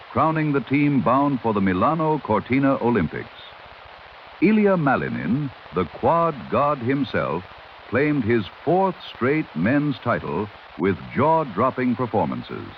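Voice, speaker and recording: Male announcer, low and highly inflected, formal old-time 1930s radio news delivery on a dull, lightly hissy recording. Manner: formal, dramatic, old-fashioned newsreader delivery. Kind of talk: 1930s radio newscast, scripted narration. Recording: muffled vintage radio sound with hiss.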